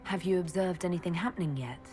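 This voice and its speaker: An actress playing a composed, guarded young noblewoman who leads an order in a fantasy game, with a measured, dry manner. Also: fairly low pitch, over music, close to an everyday voice. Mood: concerned, curious. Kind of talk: fantasy video game dialogue acting.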